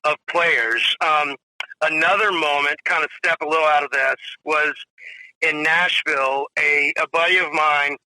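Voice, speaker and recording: A man's voice: on the high side, fairly even intonation, unhurried, bright, forceful tone, no room sound, poor audio. A man in his forties, a drummer guest telling rambling stories in a casual interview, full of "you know" and "if you will". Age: 40s.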